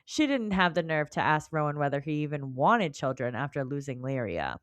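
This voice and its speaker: Low-voiced woman on a book podcast. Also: expressive, fairly quick, smooth, no room sound. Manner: informal, colloquial. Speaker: podcast co-host. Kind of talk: casual book club chat with read-out chapter recap.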